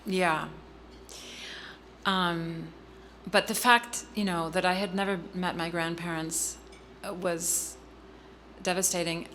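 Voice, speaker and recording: Bright-voiced woman telling a family story. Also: on the low side, steady tone, slight background noise. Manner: earnest, halting with frequent ums and restarts. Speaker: guest speaker. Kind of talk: personal story in a public interview.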